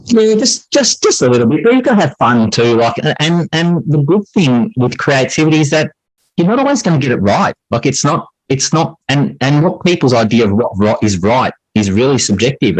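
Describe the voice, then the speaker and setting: A man's voice: mid-range voice, big pitch swings, a little fast, breathy edge, dry recording, poor audio. A middle-aged man answering interview questions in a reflective, explanatory way, repeating phrases for emphasis. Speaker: interview guest, high school English teacher.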